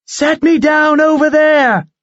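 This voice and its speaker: A man's voice: very high-pitched, takes it slowly, no room sound, voice pushed up. A man voicing a determined young woman who rides a giant eagle and gives urgent commands. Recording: dull lo-fi recording.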